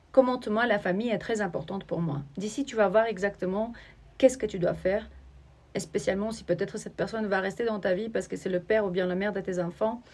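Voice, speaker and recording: Halting woman reading oracle cards. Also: medium pitch. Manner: searching, halting, speaks straight to the viewer. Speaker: oracle card reader. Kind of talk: spiritual oracle reading to camera.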